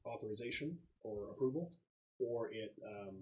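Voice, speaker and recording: A man's voice: medium pitch, animated, moderate pace, dull audio. A man acting as game master, explaining the scene and rules casually with a joking touch. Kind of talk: casual tabletop game narration and banter.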